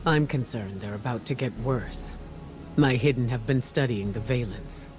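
A man's voice: medium pitch, natural voice. Man playing grave spymaster over music. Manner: serious, measured briefing. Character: grave, composed male military spymaster. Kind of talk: video game cutscene dialogue. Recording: game music behind.

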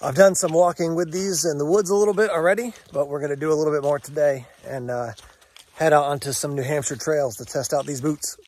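A man's voice: a little high, brisk, a little mellow. An American man in his forties giving a casual, unscripted product review to camera outdoors, conversational and a little hesitant. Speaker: gear review vlogger. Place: outdoors, woods, yard and parking lot. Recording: outdoor recording with clear background noise.

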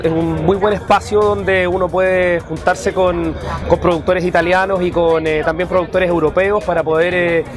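Man, high voice, halting speech, music underneath. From Chile. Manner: hesitant, many restarts. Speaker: executive producer. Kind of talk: interview to camera. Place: rooftop terrace over a city at dusk.

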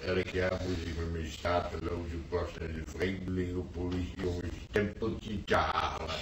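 An actor playing a plain-spoken old veteran grandpa, reminiscing in short phrases in a radio play. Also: male voice, low-pitched, flat delivery, normal speed, close to an everyday voice. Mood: matter-of-fact. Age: older. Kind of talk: Dutch radio play acting. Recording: roomy, distant, faintly noisy old radio recording.

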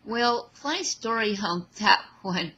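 A woman voicing a charming, joking teenage boy superhero with playful, halting bravado in an amateur audio drama. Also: medium pitch, expressive, sharp tone, breathy edge, pitched above the performer's own voice, heavily acted.

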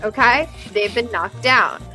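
Bright high-pitched giggly woman. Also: a little slow, soft background music, low-quality recording. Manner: giggly, hesitant. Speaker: streamer giving a joke slideshow talk. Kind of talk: casual joking group stream.

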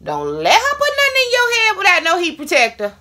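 A woman in her thirties talks straight to the camera in a small bathroom while she works through her hair. Her voice is bright and forward, with chatty, playful asides to her viewers. A hair dryer hums in the background. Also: extremely high pitch, wildly swooping pitch, a little fast. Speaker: hair vlogger. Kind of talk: casual product review while styling hair. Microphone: camera in front, hands busy. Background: hair dryer running.

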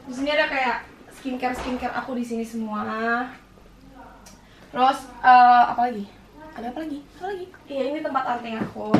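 Young adult woman, high voice, playful casual vlogger, picked up by a distant camera mic. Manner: informal, playful, moving around as she talks. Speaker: vlogger giving a house tour. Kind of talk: casual house-tour vlog chat. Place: indoors, rooms of a house. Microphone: handheld camera mic at some distance. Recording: echoey rooms, distant camera mic, noisy.

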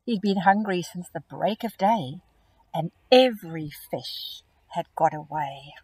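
A middle-aged woman teacher reading a picture book aloud to children in an expressive storytelling manner, recorded outdoors on a phone camera held close. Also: on the low side, a little slow, husky, no echo, rough recording. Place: outdoors in a grassy field.

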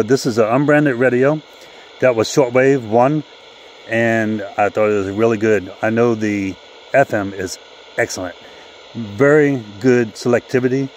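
Guy doing a casual gear review, average pitch, bit of outdoor noise behind him. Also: darkish tone, low-quality recording. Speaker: radio reviewer. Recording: faint background noise, outdoors.

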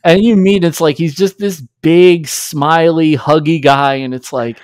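A man's voice: fairly high pitch, steady tone, a little slow, a little mellow, dry recording. A man in his thirties with a New York accent, hosting a casual podcast interview from a small home studio on a large studio mic, chatty and enthusiastic. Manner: enthusiastic, chatty, laughs often. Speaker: podcast host. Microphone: large studio mic with headphones.

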